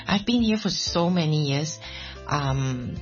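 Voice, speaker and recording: Deep-voiced woman from Malaysia. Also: lively intonation, takes it slowly, highs cut off, soft background music. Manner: thoughtful, hesitant with fillers. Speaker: guest. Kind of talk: casual interview chat.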